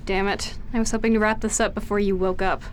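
A woman's voice: medium pitch, little pitch movement, quick pace, slight background noise, lightly acted. A woman playing a cold, unrepentant killer in an audio drama, mocking and condescending in manner. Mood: taunting. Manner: mocking, condescending, self-righteous. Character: cold, taunting female killer, unrepentant former comrade.

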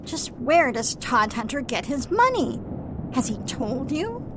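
A woman playing a suspicious, disapproving mother who nags slowly about her daughter's fiancé, in an amateur radio drama. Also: high voice, very animated, fairly bright tone, a little hiss or hum, heavily acted. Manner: slow, suspicious, nagging.

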